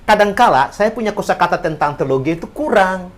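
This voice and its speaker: A man's voice: high voice, animated, a little fast. A man, an English teacher and former interpreter, talking earnestly and encouragingly in a podcast interview.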